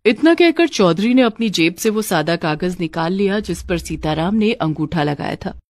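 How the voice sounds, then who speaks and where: A woman's voice: lowish voice, animated, moderate pace, no echo. A woman narrating a scripted cartoon story as a storyteller.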